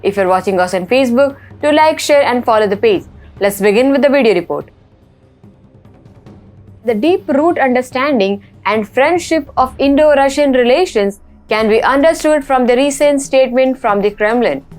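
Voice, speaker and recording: A woman's voice: sits high, animated, normal speed, a little mellow, soft background music. A woman with an Indian accent presenting scripted news reports in a formal manner. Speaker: news host.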